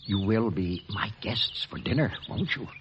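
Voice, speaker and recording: A man plays a devout mission priest who speaks in a soft, solemn, formal way, his low voice rising and falling a great deal. He sounds like an old radio drama on a dull, lo-fi recording. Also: lively intonation, moderate pace, background noise, lightly acted. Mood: gentle. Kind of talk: 1940s radio acting.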